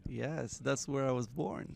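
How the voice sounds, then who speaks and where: A man from Morocco, a guest entrepreneur talking casually in an interview, halting and repeating words, laughing often. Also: medium pitch, gravelly. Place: Miami.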